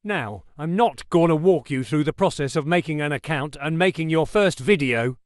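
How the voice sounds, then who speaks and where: A man casually narrating a tutorial as its host, in a relaxed, chatty way. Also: a little high, normal speed, no echo, studio quality. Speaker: tech video host.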